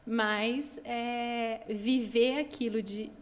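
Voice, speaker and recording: A woman missionary guest in a casual interview, speaking softly and reflectively with many fillers. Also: on the high side, fairly even intonation, extremely slow.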